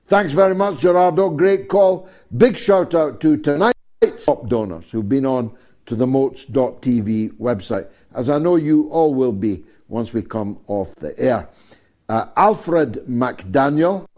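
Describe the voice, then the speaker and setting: A man hosting a talk show, giving wry asides and reading out thanks in a drawn-out way full of fillers. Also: a little high, warm tone.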